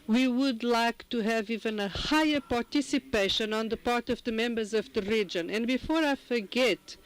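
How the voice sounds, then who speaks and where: A man interpreting a speech at a formal meeting, halting and restarting often. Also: very high-pitched, clear, resonant tone, rough recording. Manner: halting, with frequent restarts and fillers. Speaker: simultaneous interpreter.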